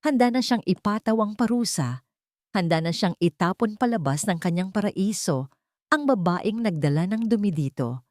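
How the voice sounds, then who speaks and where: Close dry Filipino woman storyteller, lowish voice. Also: warm tone, no room sound. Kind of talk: scripted dramatic story narration.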